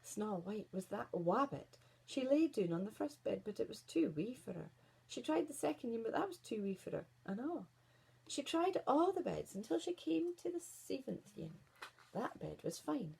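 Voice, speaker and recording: Husky Scottish woman storyteller, very expressive. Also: on the high side, normal speed, low-quality recording. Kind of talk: expressive children's story reading in Scots.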